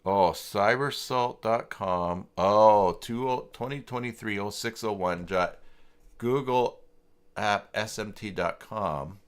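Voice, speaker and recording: A man hosting a casual livestream tutorial, halting and muttering to himself as he thinks aloud and reads from his screen. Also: fairly low pitch, smooth. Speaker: livestream host working through website email setup.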